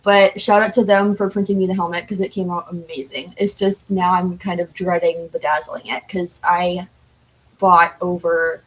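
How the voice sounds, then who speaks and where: A woman's voice: lowish voice, level tone, moderate pace, low-quality recording. A woman chatting casually as an interview guest, with a candid, self-deprecating and talkative manner. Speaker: podcast guest, cosplayer.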